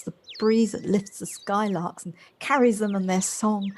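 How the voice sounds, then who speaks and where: A woman's voice: medium pitch, a little mellow, natural voice. A woman playing a polite, effusive, wistful character in an amateur audio drama. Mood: dreamy, wistful. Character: Josie, wistful, polite, effusive woman rediscovering childlike freedom. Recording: faint noise, home-recorded on personal device.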